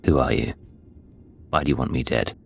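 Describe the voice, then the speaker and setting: An actor playing a hard, terse undercover intelligence agent in a video game, cool and controlled. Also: male voice, a bass voice, steady tone, moderate pace, creaky, faint music behind, full character voice.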